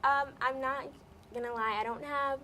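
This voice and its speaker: A teenage girl, a high school senior, answering interview questions politely and giggling now and then. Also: steady tone, moderate pace, sharp tone, a little hiss or hum. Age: teens.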